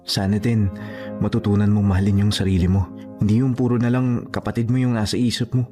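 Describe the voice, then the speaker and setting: A man's voice: on the low side, flat delivery, brisk, warm tone, natural voice. A man playing an earnest, persistent young suitor in a radio drama, speaking in a sincere, pleading way. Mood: pleading, tender. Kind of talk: Tagalog radio drama acting. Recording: music behind the voice. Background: music.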